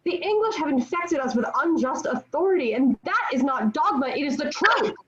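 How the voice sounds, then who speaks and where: Woman playing proud sharp-tongued young heroine, high-pitched, declamatory, defiant, dramatic table read, muffled recording. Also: expressive, normal speed. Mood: firm, righteous. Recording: dull, lo-fi video call audio.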